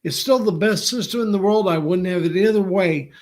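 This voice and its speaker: A man with a noticeably high voice hosts a podcast from a video call. He talks in a chatty, easygoing way and keeps the guest going with quick affirmations. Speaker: podcast host. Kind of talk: casual interview chat.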